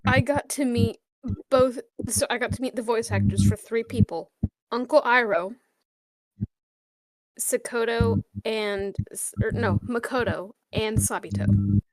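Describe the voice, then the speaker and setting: A woman's voice: a little high, lively intonation, a little slow, no echo, poor audio. A woman co-hosting a casual podcast, chatty and drifting into side stories. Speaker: podcast co-host.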